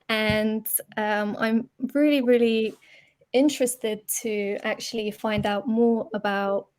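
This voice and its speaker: A young adult woman hosting a podcast, introducing her guest in an unhurried, soft-spoken way with small fillers. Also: mid-range voice, fairly even intonation. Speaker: podcast host, personal development coach.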